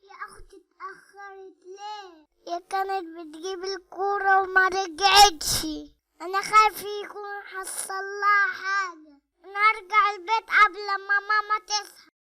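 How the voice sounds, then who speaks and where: A woman's voice: very high-pitched, flat delivery, moderate pace, sharp tone. A woman voicing a timid, hesitant young child with an Egyptian accent. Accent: Egyptian Arabic. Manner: hesitant, fearful.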